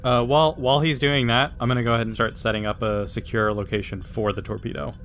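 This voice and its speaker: A man with a mid-pitched voice plays a tabletop role-playing game. He talks casually with the group, with slight noise in the background. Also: level tone, fast talker, fairly bright tone.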